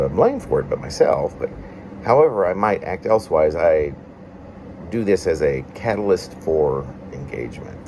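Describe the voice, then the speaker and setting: A man reading his own confessional, prose-like poem aloud in a reflective, halting way with formal wording. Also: a bass voice, background noise. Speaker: poet reading own work.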